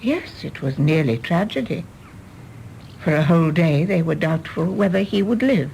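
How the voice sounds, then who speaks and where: A genteel English widow in a radio play, composed yet wistful and frank. Her measured voice has a noticeable creak and a lively melody, with faint rumble behind it. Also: poor audio. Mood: calm, regretful. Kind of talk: British stage-play radio drama acting.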